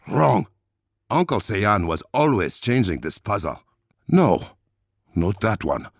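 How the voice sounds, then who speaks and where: Super deep raspy african warrior king, noble n formal, video game hero. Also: no echo, clean studio sound, heavily acted. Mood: concentrating. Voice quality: deep, gravelly. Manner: formal, measured, solemn diction.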